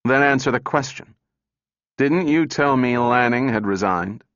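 A man's voice: medium pitch, measured pace, fairly bright tone, pitched above the performer's own voice, lightly acted. A man voicing an irritable, hostile male mathematician in a storybook reading, blustering and demanding. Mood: demanding, angry. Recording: echoey room, dull muffled sound.

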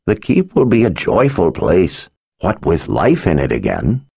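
A kindly old male wizard from a storybook, soft and grandfatherly, with a voice that lifts and drops warmly as a man puts him on. He sounds low at heart and close to the ear, with no room around him. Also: wildly swooping pitch, a little slow, gravelly, slightly rough, no echo, heavily acted. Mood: fond. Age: over 60.

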